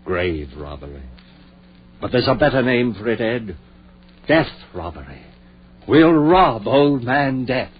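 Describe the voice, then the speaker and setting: Fervent obsessed scientist, husky and animated. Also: male voice, medium pitch, takes it slowly, pauses often, soft background music, heavily acted. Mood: fevered, determined. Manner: impassioned, emphatic. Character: obsessive research scientist and devoted husband, fervent, stubborn. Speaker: actor. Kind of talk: old-time radio drama acting. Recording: muffled, worn old radio recording.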